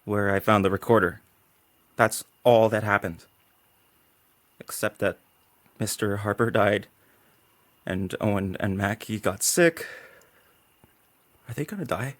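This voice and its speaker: A man's voice: fairly low pitch, a little slow, pauses often, fairly bright tone, no echo. A male actor playing a curious, frightened son in a post-apocalyptic audio drama. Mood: scared, confessing.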